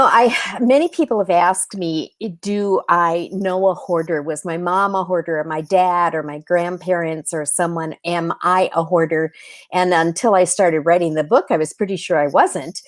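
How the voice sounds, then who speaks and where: Lower-voiced woman, dry close sound. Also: no room sound. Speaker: interview guest, novelist. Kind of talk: reflective conversational interview.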